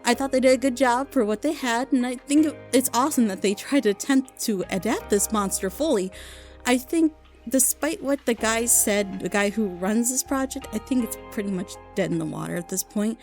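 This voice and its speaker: A woman's voice: fairly high pitch, moderate pace, soft background music. A woman giving a casual, unscripted review as a video host, rambling informally and with enthusiasm. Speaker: video host recommending fan audio dramas.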